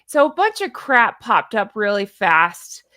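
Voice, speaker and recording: A woman's voice: mid-range voice, sing-song, measured pace, sharp tone, no room sound. A woman hosting casual, unscripted commentary on social media posts, chatty and rambling, with a sarcastic, mocking, exasperated manner. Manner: chatty, rambling, often breaking off to laugh at her own jokes. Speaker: commentary show host.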